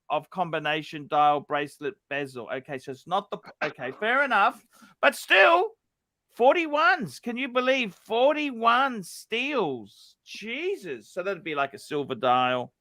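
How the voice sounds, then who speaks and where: A man hosting a casual livestream, excitable and jokey, reading out donations and calling out to chatters. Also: high-pitched, no echo. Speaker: livestream host.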